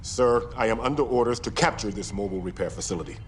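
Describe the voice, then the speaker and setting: Guy playing a dutiful klingon captain, slightly deep voice, formal and firm, game cutscene w music. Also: fast talker, natural voice. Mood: resolute. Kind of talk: video game cutscene acting.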